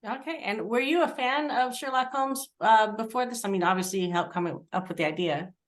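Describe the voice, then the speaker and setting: A woman's voice: a little high, a little fast. A woman interviewing someone informally, with somewhat hesitant phrasing. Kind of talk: informal interview with questions and remarks.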